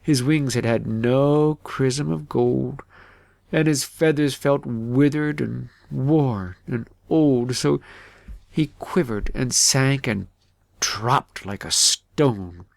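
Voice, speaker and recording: A man narrating a fairytale audiobook in an expressive, measured storybook manner. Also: medium pitch, gravelly, dry recording.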